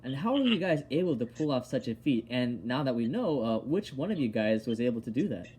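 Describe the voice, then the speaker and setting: A man's voice: medium pitch, very animated, brisk, a little mellow, a little hiss or hum. A man hosting a conversational interview, putting questions to a guest and briefly acknowledging answers. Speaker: interviewer, host.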